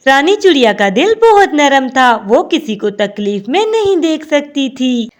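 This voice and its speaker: A woman narrating a scripted children's cartoon story in an expressive storybook manner. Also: sits high, measured pace.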